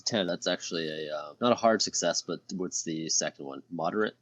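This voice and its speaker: A man's voice: low voice, fairly quick, fairly bright tone, lo-fi sound. A man playing a tabletop game, talking casually at the table.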